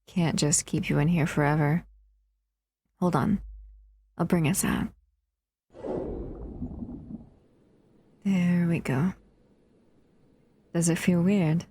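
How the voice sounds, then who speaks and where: Casting: actress as a possessive female monster for audio roleplay, unhurried and slightly low, cooing and teasing. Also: fairly even intonation, mellow, husky, heavily acted. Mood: tender. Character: possessive, doting female monster, captive in a lab. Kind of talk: intimate audio roleplay acting.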